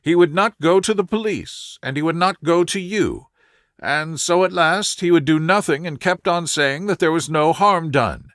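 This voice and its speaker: A man's voice: a little high, moderate pace, fairly bright tone, no echo, clean studio sound, voice pushed up, lightly acted. A man voicing a nervous, earnest, good-humored young woman in an audiobook story, with an anxious, confiding manner. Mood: indignant, anxious.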